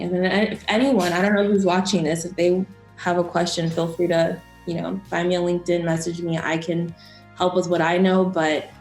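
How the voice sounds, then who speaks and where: A woman's voice: lowish voice, a little mellow. A young woman from Florida who works as a news anchor, giving chatty, encouraging advice with many fillers. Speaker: broadcast journalist and news anchor. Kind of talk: casual interview advice. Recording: roomy echo, faint music.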